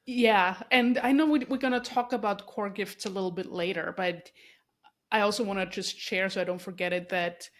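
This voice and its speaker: A woman's voice: mid-range voice, fairly even intonation, normal speed. Austrian-born woman hosting a podcast. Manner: warm, effusive, many restarts and fillers. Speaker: podcast host. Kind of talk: casual interview conversation.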